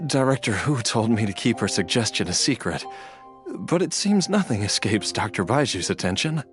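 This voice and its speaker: A man voicing a meek, bewildered father brought back from death in a video game, gentle and hesitant. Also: lowish voice, sing-song, slow pace, soft background music, full character voice. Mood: sheepish. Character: meek, grateful, bewildered undead husband and father. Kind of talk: scripted video game voice acting.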